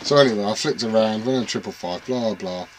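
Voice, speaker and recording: A man's voice: fairly low pitch, brisk, noisy background. Rambling man chatting about radios. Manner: rambling, self-deprecating, trails off with "et cetera". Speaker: CB radio hobbyist vlogger. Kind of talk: casual rambling chat to camera. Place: home radio room.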